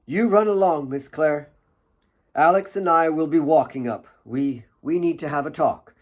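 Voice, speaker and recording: A man voicing a big, motherly woman in an audiobook, calm, firm and courteous. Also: fairly high pitch, moderate pace, muffled recording, voice pushed up, lightly acted.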